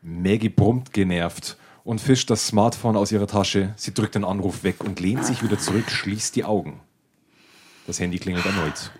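A man's voice: lowish voice, moderate pace, darkish tone, husky. A man reading out the scripted stage directions of a radio play.

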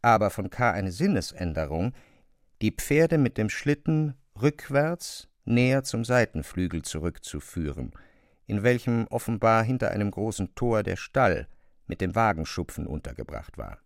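A man narrating a literary audio drama, with measured, deliberate phrasing. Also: on the low side, big pitch swings, dry recording, clean studio sound.

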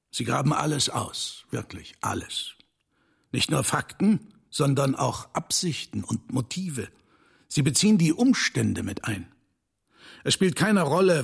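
Gravelly, enigmatic rich older man. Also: medium pitch, exaggerated voice. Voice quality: gravelly, husky. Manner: deliberate, theatrical, dramatic pauses, drops to hushed tones. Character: enigmatic, commanding rich older man haunted by his past. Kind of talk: dubbed film drama acting.

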